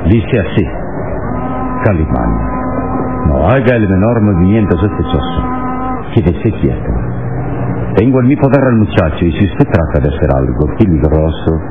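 A calm, commanding hero of a radio adventure serial. The voice is low and strongly inflected, with solemn authority. It comes through a narrow old recording. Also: male voice, normal speed, pauses often, very noisy. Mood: grave. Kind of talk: vintage radio drama acting.

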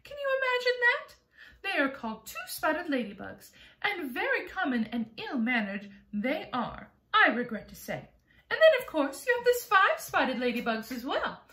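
A woman's voice: high-pitched, wildly swooping pitch, moderate pace, bright, forceful tone, echoey room, voice pushed up, heavily acted. A woman voicing a prim, genteel little ladybug. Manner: modest, refined, a little snobbish. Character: prim proud female ladybug, small and genteel.

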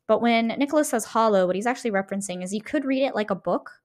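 A woman's voice: fairly high pitch, fast talker. A woman co-hosting a podcast, explaining her points casually and emphatically, often checking in with short tag questions. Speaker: podcast co-host. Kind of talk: casual conversational game discussion.

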